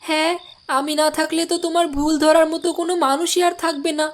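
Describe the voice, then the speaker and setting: A woman voicing a strong-willed village housewife in a cartoon, complaining and insistent but soft-hearted. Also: very high voice, flat delivery, quick pace. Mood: sulky, insistent. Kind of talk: cartoon voice acting.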